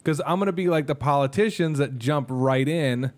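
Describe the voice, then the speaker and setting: A man in his thirties co-hosting a podcast, chatting casually, telling jokey stories and slipping into quick impressions. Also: on the high side. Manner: jokey, animated storyteller who does quick impressions. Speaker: podcast co-host. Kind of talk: casual chat.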